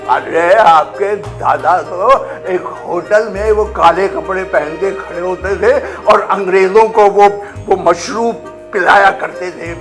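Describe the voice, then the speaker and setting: Creaky, high-pitched, pompous old nawab. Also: male voice, fairly bright tone, full character voice. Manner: pompous, halting, repeats himself. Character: blustering, teasing old grandfather, a petty Lucknow nawab. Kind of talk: theatrical family comedy acting.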